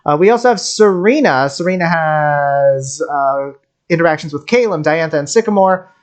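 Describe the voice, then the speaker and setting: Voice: mid-range voice, expressive, moderate pace. A streamer giving casual, rambling commentary on game voice lines in a wry, geeky way.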